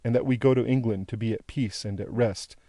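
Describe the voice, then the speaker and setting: A man voicing a gentle, devoted young daughter in an audiobook, with tender, imploring, rhythmic appeals. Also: mid-range voice, fairly quick, no echo, lightly acted. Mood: fervent consoling.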